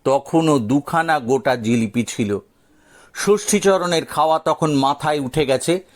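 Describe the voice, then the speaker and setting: A man narrating a scripted audio story dramatically, with theatrical, suspenseful pauses. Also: on the high side.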